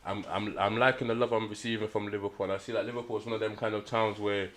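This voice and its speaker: A man's voice: lowish voice, level tone, fast, fairly bright tone. A young man from Nigeria who grew up in London, talking casually as a rapper guest, laid back and full of slang. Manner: laid-back slangy talk, laughs often. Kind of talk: casual chat.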